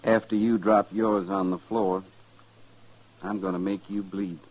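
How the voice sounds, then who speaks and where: Low-voiced grim cowboy avenger, old radio. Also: male voice, flat delivery, unhurried, a little mellow. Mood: cold, threatening. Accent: American. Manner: terse, threatening. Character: grim, determined Old West man seeking revenge. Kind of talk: 1950s radio western acting. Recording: dull, noisy vintage radio recording.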